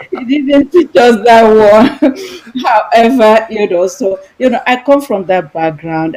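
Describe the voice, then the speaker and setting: A woman's voice: on the low side, a little mellow, rough recording. A middle-aged woman with a Nigerian accent, answering conversationally as a guest author on a video-call interview. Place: indoor room, video call. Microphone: video-call microphone.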